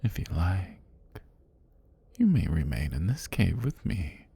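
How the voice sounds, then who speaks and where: Casting: male actor as ancient giant snake deity for fantasy ASMR; very low, gravelly, unhurried, sinister and faintly amused. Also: very animated, full character voice. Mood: amused, curious. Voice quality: husky, breathy, gravelly. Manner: slow, deliberate, formal, softly menacing.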